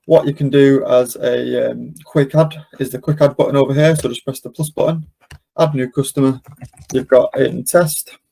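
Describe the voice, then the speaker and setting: A man presenting an informal software walkthrough, explaining casually step by step. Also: medium pitch, steady tone, moderate pace, vocal fry. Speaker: software demonstrator, webinar presenter. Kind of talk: informal screen-share walkthrough.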